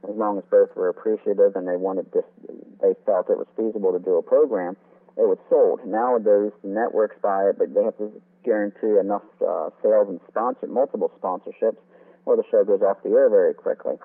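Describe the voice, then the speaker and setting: Phone-line man with a low voice. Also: steady tone, brisk. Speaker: interview guest, author on old-time radio. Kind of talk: explanatory interview talk about radio history. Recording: phone-like narrow, tinny sound.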